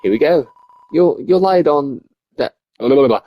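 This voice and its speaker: A man's voice: fairly high pitch, expressive, normal speed, lo-fi sound, faint music behind. A man voicing a shy, lonely, apologetic game character who stammers and speaks in a put-on accent. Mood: awkward. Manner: hesitant, stammering, self-effacing. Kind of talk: reading game dialogue aloud.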